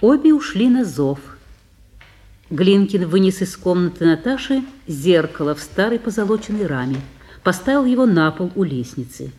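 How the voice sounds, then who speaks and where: A woman's voice: lowish voice, sing-song, moderate pace, dark tone. A woman narrator giving scripted narration of a play's stage directions.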